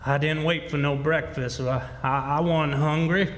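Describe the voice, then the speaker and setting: Man acting shifty country quack preacher, slightly high voice, folksy drawl, radio drama, long reverb. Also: fairly even intonation, lightly acted. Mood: sly, folksy. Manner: rustic, drawn-out folksy talk. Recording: echoey live hall, distant reverb.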